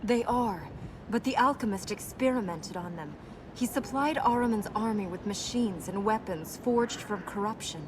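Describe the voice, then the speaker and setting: An actress playing a serious, resolute young princess in a video game, measured and matter-of-fact. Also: female voice, mid-range voice, natural voice. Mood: grave explaining. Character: solemn, resolute young princess guiding an adventurer. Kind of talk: video game character acting. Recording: noisy game capture with ambience.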